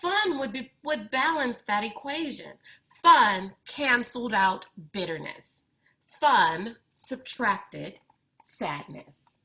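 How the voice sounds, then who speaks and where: Female storyteller for children's read-aloud, unhurried and bright, expressive delivery. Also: fairly high pitch, poor audio. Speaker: storyteller reading a picture book aloud.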